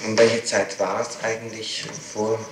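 A man's voice: on the low side, flat delivery, measured pace. A man questioning someone in a recorded interrogation, probing and pressing for dates and details, on an old tape. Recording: echoey, noisy 1960s tape recording.

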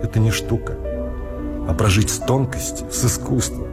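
An actor playing a conceited card swindler outraged at being outwitted, in theatrical stage comedy style with stammering repetitions and exclamations. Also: male voice, fairly low pitch, moderate pace, natural voice. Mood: smug, reflective. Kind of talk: classic Russian stage comedy acting. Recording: music behind the voice. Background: music.

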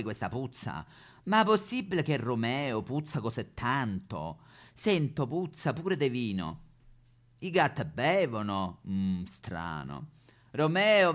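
A man plays a bigoted, suspicious resident of an apartment block. He mutters and curses in a sour Roman accent, with lively intonation, in the manner of stage satire. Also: mid-range voice. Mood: suspicious, disgusted. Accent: Roman Italian. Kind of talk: satirical theatrical monologue.

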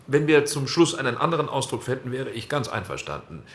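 A man's voice: medium pitch, very animated, brisk, a little hiss or hum, natural voice. A man playing a careful, conciliatory post-war committee member, speaking formally and politely in a radio docudrama. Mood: considered, diplomatic.

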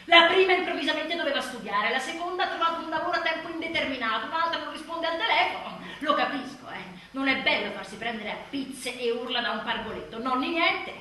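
Exasperated new mother, wildly animated, echoey. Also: sits high, quick pace, hardly any pauses. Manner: dramatic, sardonic, swinging from muttered to shouted. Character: exhausted, exasperated new mother, bitterly funny. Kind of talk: theatrical confessional monologue. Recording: roomy distant theatre sound, faint noise.

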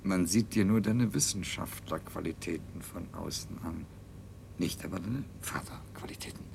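A man's voice: normal speed, vocal fry. A man playing a lofty, self-satisfied scientist in a 1970s radio play, with a stilted, pompous delivery. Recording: 1970s radio recording with low rumble.

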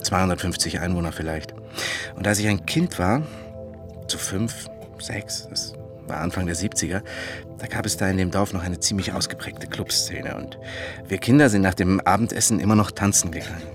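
Actor as low-voiced, earnest, excitable eccentric man. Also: moderate pace, over music, close to an everyday voice. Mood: wistful, earnest. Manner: confessional, fussy, repeats himself in agitation. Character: eccentric, earnest man, sentimental, prone to hysterical outrage. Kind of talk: radio play monologue and dialogue.

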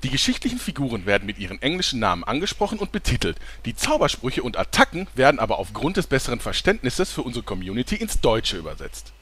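Casting: male actor as fussy, chummy scribe, extremely animated pitch, slight noise behind, parody audio drama. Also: quick pace, few pauses. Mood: officious. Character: fussy, chummy male chronicler taking notes. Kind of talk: German fantasy parody audio drama acting.

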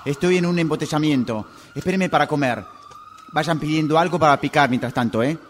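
A man's voice: fairly high pitch, a little fast, lightly acted. A man acting a sociable guy phoning his old friends, in a radio drama. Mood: impatient. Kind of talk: radio drama acting, one-sided phone call. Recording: amateur workshop recording, sirens and traffic noise.

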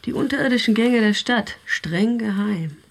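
A woman's voice: fairly high pitch, moderate pace, lightly acted. An actress playing an earnest, mysterious self-styled witch with a pendulum in a radio drama. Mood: earnest secretive. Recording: long cavernous reverb, music behind.

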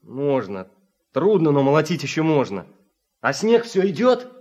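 An actor playing a gruff, dependable farm machine operator in a radio drama. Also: male voice, fairly high pitch, big pitch swings, a little slow, a little mellow. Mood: matter-of-fact. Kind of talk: Soviet radio drama acting. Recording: muffled old radio recording.

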